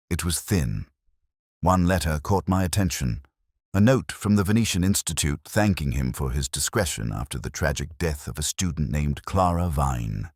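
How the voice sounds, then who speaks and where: Male narrator, very low register with expressive movement, reflective first-person crime fiction, close dry studio sound. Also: dry recording. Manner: measured, literary, reflective. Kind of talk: scripted first-person detective story narration.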